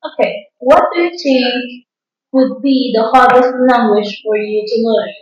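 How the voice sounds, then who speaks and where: A woman asks speaking-test questions in a brisk, businesslike way. She sounds far from the mic, and the audio is dull and lo-fi. Heavy room echo hangs around every word. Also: on the high side. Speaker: examiner. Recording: distant, muffled, very echoey.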